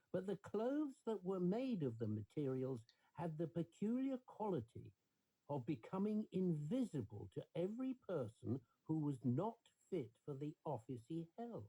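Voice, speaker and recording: A man's voice: on the high side, warm tone, breathy edge, rough recording. A man narrating a scripted bedtime story for children, as a storyteller.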